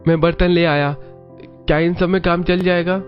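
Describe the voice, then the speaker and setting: Male actor as earnest young husband, cartoon dialogue, slightly high pitch, lo-fi sound. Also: steady tone, moderate pace, natural voice. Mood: helpful, practical. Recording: dull lo-fi track with music.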